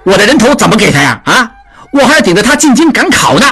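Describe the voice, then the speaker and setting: A man voicing a hot-tempered middle-aged man who shouts and stammers in anger. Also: mid-range voice, huge pitch swings, moderate pace, breathy edge, heavily acted. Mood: angry. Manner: shouting, flustered, swearing.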